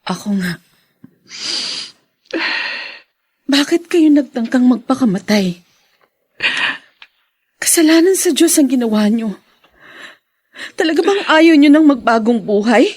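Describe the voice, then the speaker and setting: An actress playing a repentant, earnest young Filipina daughter in a radio drama, pleading and close to tears. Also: female voice, sits high, lively intonation, unhurried, long pauses, a little mellow, husky, dry recording, heavily acted. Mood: hurt, solemn. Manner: pleading, tearful delivery.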